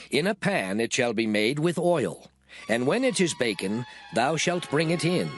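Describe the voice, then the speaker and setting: A man giving a solemn, declarative, measured dramatized reading of old scripture. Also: medium pitch. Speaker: scripture reader. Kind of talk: dramatized King James Bible reading, scripted. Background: music.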